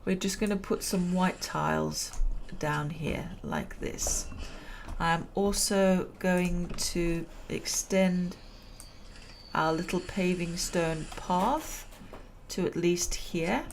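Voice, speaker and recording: Gravelly, slow-talking woman gaming. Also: fairly low pitch, steady tone, a little hiss or hum. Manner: chatty, self-amused, laughs at own jokes. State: losing her voice. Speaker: gameplay commentator. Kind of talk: relaxed let's-play commentary.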